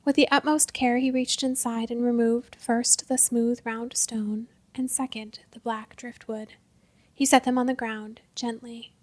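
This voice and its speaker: A woman with a fairly high voice reads scripted literary fiction as a narrator. Her delivery is measured and expressive, in the manner of a storyteller.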